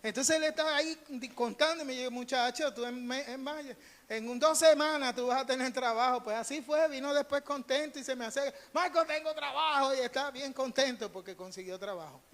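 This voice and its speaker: A man's voice: very high voice, brisk, fairly bright tone. A Puerto Rican man preaching as a pastor in a conversational storytelling style, emphatic, repeating phrases and acting out bits of dialogue. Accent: Puerto Rican Spanish. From Puerto Rico. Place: church sanctuary during a live service. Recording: reverberant hall, live church service.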